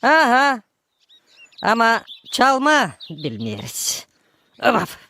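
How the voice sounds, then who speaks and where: A cheeky young cartoon animal, teasing and exclamatory. The voice is high and wildly animated in pitch, yet drawn out very slowly. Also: female voice, creaky, slight background noise, exaggerated voice. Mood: bantering. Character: cheeky tailed young animal who wants to conduct. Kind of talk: cartoon character acting.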